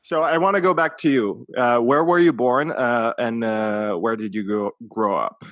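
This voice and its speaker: A man's voice: medium pitch, moderate pace, fairly bright tone, no echo. A man hosting a podcast in casual chat, eager and encouraging toward his guest. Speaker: podcast host.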